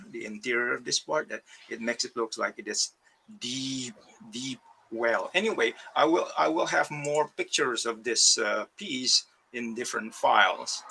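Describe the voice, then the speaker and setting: A man's voice: medium pitch, gravelly, bright, forceful tone, a little hiss or hum, low-quality recording. A man from Indonesia, an artist, giving a hesitant online talk about his work over a video call, with many fillers and restarts. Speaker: ceramic artist. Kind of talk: virtual artist talk presenting slides.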